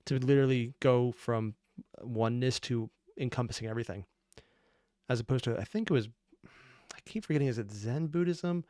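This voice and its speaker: A man's voice: mid-range voice, no room sound, studio quality. Man giving rambling, analytical reaction commentary. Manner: analytical, rambling asides, self-correcting. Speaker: reaction video host. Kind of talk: casual off-the-cuff commentary.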